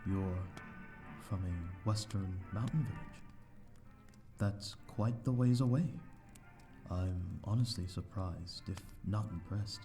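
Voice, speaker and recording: A man playing a courteous, lonely vampire host in an ASMR audio roleplay, speaking in a formal, flowery, slightly flustered and hesitant way. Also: lowish voice. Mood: curious, polite. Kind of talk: ASMR audio roleplay, scripted character acting.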